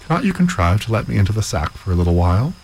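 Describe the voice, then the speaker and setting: Man voicing an eager young student, keen and pleading, low voice with a lively lilt. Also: racing, a little hiss or hum. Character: eager young male student, merry fellow.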